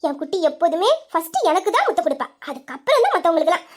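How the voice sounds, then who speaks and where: A woman acting a gentle, affectionate young wife and new mother in a melodramatic audio drama. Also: very high voice, very animated, rapid-fire. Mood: doting.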